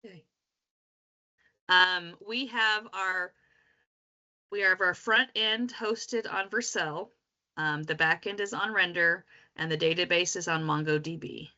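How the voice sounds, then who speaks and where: A woman giving an informal live screen demo as a student developer, talking haltingly and thinking aloud. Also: lowish voice, steady tone, pushed and bright, dull audio. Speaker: student developer presenting a software project.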